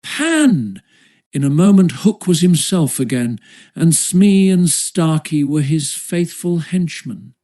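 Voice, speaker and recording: A man's voice: a little high, measured pace, mellow. A man reading a classic children's adventure story aloud as an audiobook narrator, with a literary storytelling delivery.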